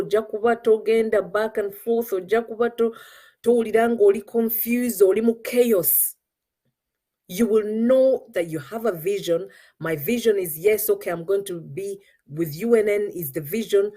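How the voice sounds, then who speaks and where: Middle-aged Ugandan-accented woman host, mellow dark tone, earnest and coaching. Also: mid-range voice. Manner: earnest, mixes English with Luganda. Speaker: show host. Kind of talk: motivational talk.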